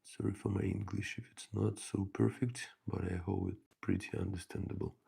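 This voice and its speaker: A man from Ukraine with a Ukrainian accent, hosting a casual miniature painting stream and thinking aloud in a soft, halting way as he works. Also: deep voice, flat delivery, gravelly, breathy edge. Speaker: miniature painting channel host. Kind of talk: casual live painting chat.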